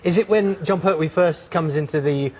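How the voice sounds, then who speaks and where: A man's voice: fairly high pitch, normal speed, a little mellow, a little hiss or hum. A man conducting a conversational interview, chatty and often ending statements with tag questions.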